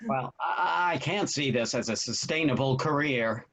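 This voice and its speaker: A man's voice: mid-range voice, moderate pace, fairly bright tone, lightly acted. A man acting a doubtful, puzzled older father who questions hesitantly in a stage-play table read. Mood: skeptical. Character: doubtful, measured older father of grown children.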